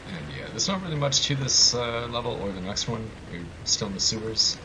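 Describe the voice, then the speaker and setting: A man's voice: mid-range voice, expressive, fairly quick, noisy background. A man giving casual, wry live commentary while speedrunning a video game. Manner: sarcastic, wry.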